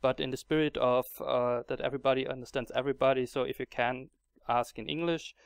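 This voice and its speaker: A man's voice: medium pitch, fairly even intonation, a little fast, vocal fry, clean tone, dry recording. A man with a German accent narrating a casual game tutorial, hesitant with many fillers and restarts. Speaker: Minecraft mod video creator.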